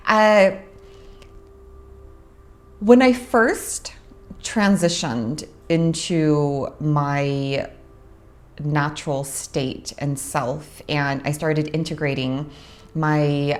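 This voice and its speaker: Young adult woman, host, slow unhurried delivery, low-ish voice, reflective pauses. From Orange County, California. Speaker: host, spiritual practitioner. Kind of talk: casual monologue to camera.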